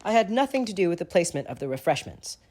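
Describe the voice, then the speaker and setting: A man's voice: high-pitched, very animated, fast talker, a little mellow, pitched above the performer's own voice. A man voicing a haughty, tipsy teenage boy wizard with a prim, indignant manner.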